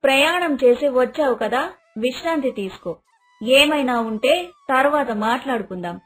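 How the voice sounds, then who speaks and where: A woman's voice: high voice, lively intonation, moderate pace, fairly bright tone, slightly rough, exaggerated voice. A woman voicing a proud, disapproving, suspicious mother-in-law in a cartoon moral story. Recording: dialogue over background music.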